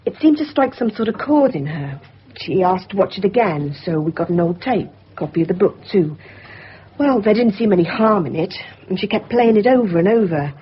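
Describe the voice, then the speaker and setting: Plain-spoken hospital care worker. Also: female voice, medium pitch, slightly rough. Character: puzzled, down-to-earth female hospital care worker. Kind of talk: naturalistic radio drama acting. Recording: dull lo-fi radio recording.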